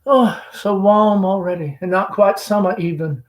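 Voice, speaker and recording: Genteel, fretful middle-aged Southern mother for a stage play, played by a man. High, gushing, rambling, theatrical. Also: normal speed, natural voice. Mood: flustered, chatty.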